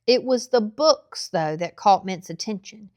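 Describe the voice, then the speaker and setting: A woman's voice: medium pitch, animated, unhurried, darkish tone, no echo. Woman reading a storybook, close and dry. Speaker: narrator. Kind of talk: storybook read-aloud, scripted narration.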